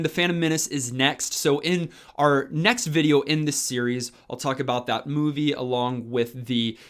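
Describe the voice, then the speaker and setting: A man's voice: on the high side, fairly bright tone. A man talking casually and enthusiastically as a fan, hosting a review video. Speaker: book reviewer and channel host.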